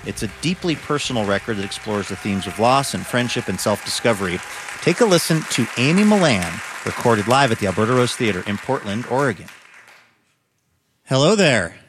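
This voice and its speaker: A man's voice: medium pitch, expressive, a little fast, a crowd in the background, noisy background. Middle-aged American man hosting a radio show. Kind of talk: radio show presenting and live interview. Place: on stage at a theater.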